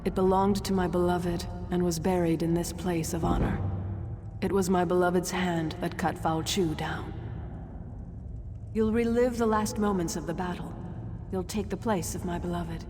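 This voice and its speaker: A woman playing a solemn, resolute ghost from the ancient past in a video game, grave and deliberate, with a ghostly reverb. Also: lowish voice, fairly even intonation. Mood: grave, mournful. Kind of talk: video game character acting. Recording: long reverb, music behind.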